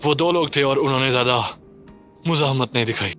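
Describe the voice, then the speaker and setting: A man's voice: medium pitch, brisk, a bit bright, soft background music, natural voice. A man playing a dutiful village henchman in an audio drama.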